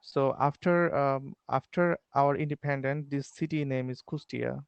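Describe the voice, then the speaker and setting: Halting Bangladeshi man, gravelly voice. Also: medium pitch, fairly even intonation, no echo, muffled recording. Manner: halting, many fillers and restarts. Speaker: English learner. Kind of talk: online English lesson conversation. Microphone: video call.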